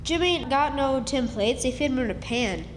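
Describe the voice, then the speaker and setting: A practical, doubting American country boy played by a woman, with an extremely high voice. The dialect is folksy and ungrammatical, acted for a radio play in an echoey hall. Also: expressive, normal speed, slight background noise. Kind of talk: live radio drama acting. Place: historic club hall, live performance. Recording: echoey hall stage, faint music.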